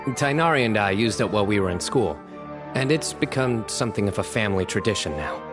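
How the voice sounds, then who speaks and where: A man voicing a calm, stoic young man with a measured, dry-humoured delivery in a fantasy video game. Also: fairly low pitch, natural voice. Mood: deadpan. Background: clear background music.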